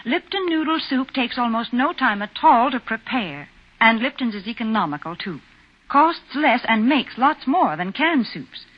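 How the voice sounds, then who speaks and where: An American woman announcing a sponsor's message on 1940s radio, speaking to listeners in a folksy, earnest way. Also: fairly high pitch. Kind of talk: 1940s radio advertising pitch. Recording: muffled 1940s radio broadcast.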